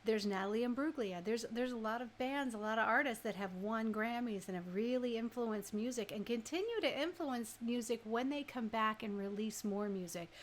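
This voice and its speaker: High-voiced woman DJ chatting casually. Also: moderate pace, few pauses, a little hiss or hum. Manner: conversational, rhetorical questions and frequent tag questions. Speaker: radio DJ and host. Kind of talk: casual music chat to live listeners.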